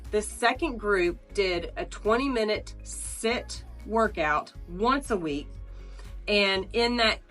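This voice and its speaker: A woman's voice: medium pitch, slow pace, fairly bright tone, faint music behind. Woman, 40s, slightly nasal, recovering from flu, earnest wellness coach, step-by-step explainer. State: getting over the flu. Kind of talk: instructional talk to camera.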